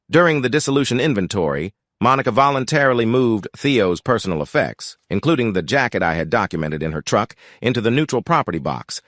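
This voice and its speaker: A man narrating a first-person story in a measured, sober way. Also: lowish voice, fairly bright tone, no echo, studio quality.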